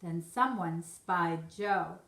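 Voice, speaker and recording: Slow, echoey woman reading a storybook. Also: lowish voice, vocal fry, fairly bright tone. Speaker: teacher reading aloud to children. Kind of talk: expressive storybook narration in rhyme. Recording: roomy echo.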